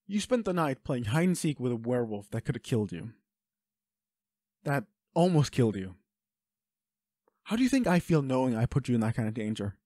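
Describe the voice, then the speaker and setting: A protective, self-loathing werewolf boyfriend acted by a man in an intimate audio drama. He speaks in a mid-pitched male voice, urgent and emotional, in a very dry recording with no room around it. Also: big pitch swings, a little fast, pauses often, mellow, no echo. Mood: shaken, guilty. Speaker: audio roleplay voice actor. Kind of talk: intimate dramatic roleplay acting.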